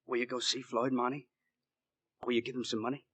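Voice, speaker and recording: A man playing an anxious, simple errand messenger in a 1940s radio drama, with a husky voice and a naive, fretful manner. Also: medium pitch, level tone, brisk, fairly bright tone, no room sound. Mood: fretful, eager.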